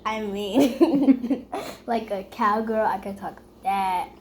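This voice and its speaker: A young female voice in a casual chat with her mother. She speaks quite slowly and shyly, gives short answers and often giggles. There is faint noise in the background. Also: mid-range voice. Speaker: daughter answering questions.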